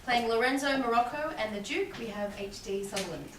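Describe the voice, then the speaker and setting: An echoey, distant recording of a woman with a fairly high voice and noticeable noise around her. She is the narrator of a play reading, announcing players and stage directions. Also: a little fast. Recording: echoey room, distant mic, clear noise.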